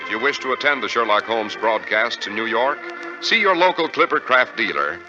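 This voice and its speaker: Polished American radio announcer, dull vintage recording. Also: male voice, mid-range voice, lively intonation, moderate pace. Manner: polished, formal salesmanship. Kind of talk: 1940s scripted radio announcing. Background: organ music.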